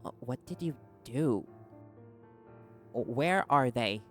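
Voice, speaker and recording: Man acting a slow-spoken, defiant captive reporter. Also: medium pitch, lively intonation, a bit bright, soft background music. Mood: alarmed. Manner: hesitant yet defiant. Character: stubborn, wary young male reporter held captive. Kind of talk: audio roleplay drama acting.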